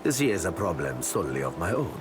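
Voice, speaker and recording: A deep, suave pirate captain. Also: male voice, very animated, a little fast. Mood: proud, measured. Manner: formal, elaborate, courteous phrasing. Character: suave, sly male pirate captain, courtly and calculating. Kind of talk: video game character acting. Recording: loud music behind the voice.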